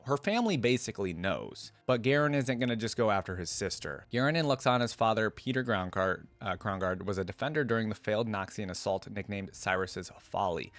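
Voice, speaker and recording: A man with a fairly low voice hosts a reaction video. He reads written material aloud and drops into casual, conversational commentary. The sound is dry, with very little room in it. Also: a bit bright, dry recording.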